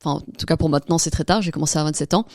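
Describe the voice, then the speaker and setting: A woman's voice: low-pitched, very fast, a little mellow, no room sound, clean studio sound. A woman being interviewed as a podcast guest, telling her own story in casual, informal speech. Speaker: interview guest, real estate investor.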